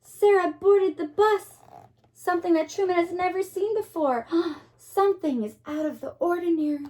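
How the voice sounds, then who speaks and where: A woman teacher reads a picture book aloud to children in an expressive, dramatic way, with pauses and asides to her young listeners. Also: very high voice.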